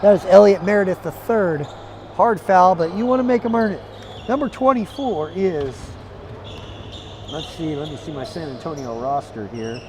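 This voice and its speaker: A hoarse man calling a live basketball game play by play, energetic and chatty, climbing into excited calls. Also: high-pitched, moderate pace, noisy background. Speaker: play-by-play commentator. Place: arena broadcast position.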